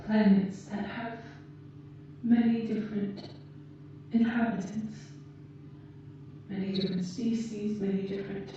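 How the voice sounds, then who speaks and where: Woman playing a soft, dark-toned, echoing alien rescuer. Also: mid-range voice, lightly acted. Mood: calm, explaining. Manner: measured, formal, precise phrasing with deliberate pauses. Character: gentle alien woman rescuer, formal, calm and caring. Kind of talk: ASMR roleplay, slow soothing delivery. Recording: muffled, echoey, distant, faint noise.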